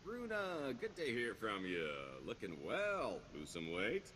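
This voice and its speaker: An actor playing a smooth-talking, self-assured con man in a video game, with wry, wheedling salesman patter. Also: mid-range voice, huge pitch swings, a little slow, a bit bright, a little hiss or hum. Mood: glib, ingratiating. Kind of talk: video game dialogue acting.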